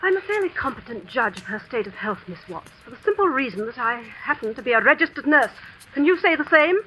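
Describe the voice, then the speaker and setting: An actress playing a cold, domineering schoolmistress and sham clairvoyant in an old radio suspense drama, speaking with imperious, theatrical precision. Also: female voice, sits high, very animated, a little fast, sharp tone, slight background noise, exaggerated voice, low-quality recording. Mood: cold, commanding. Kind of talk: 1940s-50s radio suspense acting. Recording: vintage radio recording with music.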